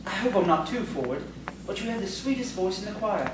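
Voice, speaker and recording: A woman's voice: medium pitch, lively intonation, brisk, lightly acted. Woman doing a shy polite schoolteacher man, courteous, stage drama, big echo, distant. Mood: gently encouraging. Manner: hesitant, prim, courteous. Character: polite, timid, bookish male schoolteacher. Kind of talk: audio theatre acting. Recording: distant, echoey, noisy stage recording.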